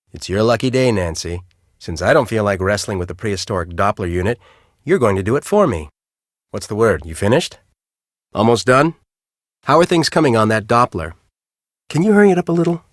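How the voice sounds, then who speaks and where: Gruff, impatient game boss, lowish swooping voice, cynical storm-chaser, very dry sound. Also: male voice, no echo. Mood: pressing. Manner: sarcastic, impatient. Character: gruff, cynical, bossy male storm-chasing team leader. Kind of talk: video game voice acting.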